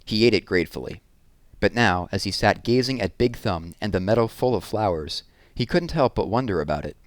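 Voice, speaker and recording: A man's voice: fairly low pitch, animated, a little fast, no echo, clean studio sound. A man reading a novel aloud in a scripted storytelling narration. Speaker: read-aloud reader.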